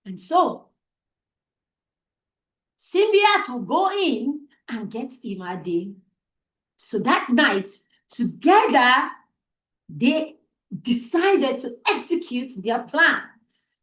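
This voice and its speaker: A woman storyteller with a high, very animated voice tells a tale for children. She goes slowly and deliberately, building suspense, and the room around her sounds echoey. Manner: deliberate, suspenseful, repeats phrases for emphasis. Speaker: storyteller, host of a storytime show. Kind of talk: dramatic oral storytelling for children.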